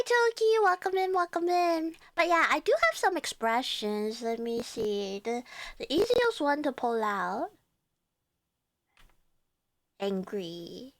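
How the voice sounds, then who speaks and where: A woman's voice: on the high side, very animated, measured pace, a bit bright, dry recording. A young woman with a Cantonese accent chatting casually with her viewers as a livestreamer, chatty and giggling often.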